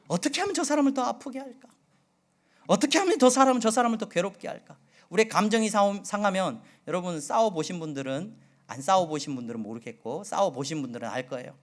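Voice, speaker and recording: A middle-aged male pastor from the Jeolla region preaching a sermon, with a slight Jeolla accent, asking his congregation many questions and moving between loud and soft delivery. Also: high-pitched. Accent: Seoul Korean with occasional Jeolla dialect. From Jeolla region, Korea.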